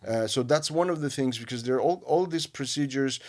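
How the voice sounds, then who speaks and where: A man from Armenia with an Armenian accent, co-hosting a news discussion and talking haltingly with many fillers and restarts. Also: mid-range voice, a little mellow. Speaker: radio host.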